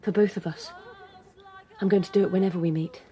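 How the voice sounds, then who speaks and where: An actress playing a tender, frank lover and rebel in a radio drama. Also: female voice, fairly low pitch, steady tone, brisk, mellow, lightly acted. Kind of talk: British radio drama acting.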